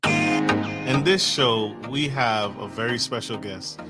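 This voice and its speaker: A man's voice: mid-range voice, takes it slowly, over loud music. A man hosting a podcast, effusive and enthusiastic, giving big introductions and stumbling over names. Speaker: podcast host. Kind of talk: podcast interview.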